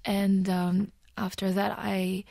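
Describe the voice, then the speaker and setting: A woman in her thirties from the Netherlands speaks as a guest in a radio studio, pausing often with "um"s as she thinks. Her voice sits in a middle range. The sound is close and dry, with no room echo. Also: mid-range voice, little pitch movement, vocal fry, warm tone. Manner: thoughtful, with many pauses and "um"s. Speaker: guest, musical actor. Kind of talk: radio interview. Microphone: studio microphone on a stand.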